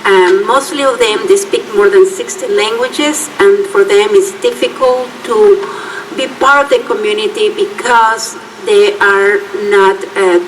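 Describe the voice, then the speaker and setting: A woman's voice: medium pitch, expressive. Earnest woman on a panel. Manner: earnest, halting, with restarts. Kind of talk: panel talk. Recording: distant mic, faint room noise.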